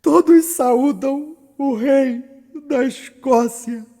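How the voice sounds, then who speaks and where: High-pitched tormented tyrant in long reverb. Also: male voice, very slow, dark tone, full character voice. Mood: desperate, defiant. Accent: Brazilian. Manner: dramatic, declamatory, swinging from murmur to cry. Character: tormented, defiant, guilt-ridden male tyrant haunted by bloody memories. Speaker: stage actor. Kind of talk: theatrical Shakespearean monologue. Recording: long reverberant echo around the voice.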